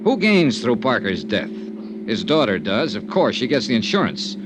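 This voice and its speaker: An American man acting a shrewd, probing insurance investigator in an old radio detective drama, measured and insinuating. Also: medium pitch, very animated, close to an everyday voice. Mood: coolly insinuating. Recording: noisy vintage radio drama recording.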